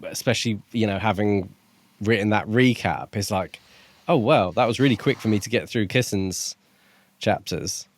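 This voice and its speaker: A man's voice: on the low side, normal speed. A man co-hosting a podcast, talking through his thoughts on a book in a casual, reflective and slightly hesitant way.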